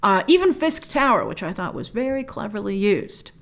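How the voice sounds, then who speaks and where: A woman's voice: medium pitch, sing-song, moderate pace. A woman hosting a review, giving opinions in an emphatic, informal, conversational way. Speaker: film and game review show host.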